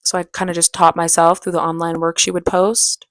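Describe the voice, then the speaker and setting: A young woman telling personal stories in a casual vlog style, with an animated, slangy, playfully dramatic way of talking. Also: fairly low pitch, fairly quick, no room sound. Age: young adult. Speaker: vlogger and aspiring actress. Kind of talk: casual chatty vlog storytelling.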